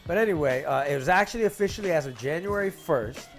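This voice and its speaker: A man's voice: fairly high pitch, quick pace, soft background music. A man hosting a casual podcast, talking in a loose, profane way and reading numbers out to his cohosts. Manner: animated, profane, reads stats to cohosts. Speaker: podcast host. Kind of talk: casual chat.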